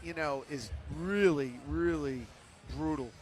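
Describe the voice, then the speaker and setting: A man's voice: on the high side, a little slow. A man doing live ringside commentary on a kickboxing bout, exclaiming at the big hits. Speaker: fight commentator.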